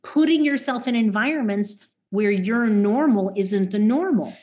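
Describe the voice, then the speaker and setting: Expressive woman in reflective conversation. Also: medium pitch, normal speed.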